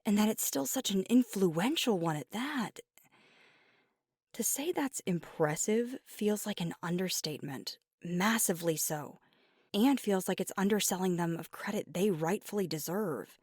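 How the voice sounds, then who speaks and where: A woman's voice: on the low side, normal speed, a little mellow, slightly rough, no echo, clean studio sound. A woman voicing a devoted, earnest werewolf girlfriend in an intimate ASMR roleplay, speaking sincerely and a little hesitantly. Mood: passionate, conflicted. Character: devoted, earnest young werewolf girlfriend weighing big news.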